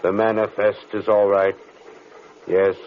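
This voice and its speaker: An actor playing a cold, mysterious pilot, flat and terse, in old-time radio drama style. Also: male voice, lowish voice, unhurried. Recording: tinny, noisy old radio recording.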